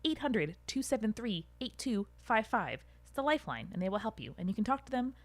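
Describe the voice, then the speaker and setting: A woman's voice: mid-range voice, very animated, a little fast, dry recording. A young woman co-hosting a podcast, chatting informally and emphatically, with some swearing. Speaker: podcast co-host. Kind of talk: casual chat.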